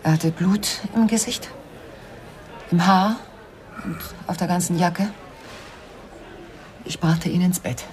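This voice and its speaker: Low-voiced, controlled widow with a secret. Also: female voice, slow, pauses often, a little mellow. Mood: grave, confessional. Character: Mrs. Wade, cool, evasive widow and secret murderess. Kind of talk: 1990s German radio crime drama acting.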